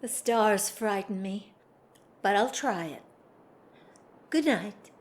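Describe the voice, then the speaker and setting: A woman acting a proper, hesitant aristocratic lady in an audio drama, genteel, formal and gently reproving. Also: mid-range voice, lively intonation, takes it slowly. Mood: hesitant, polite.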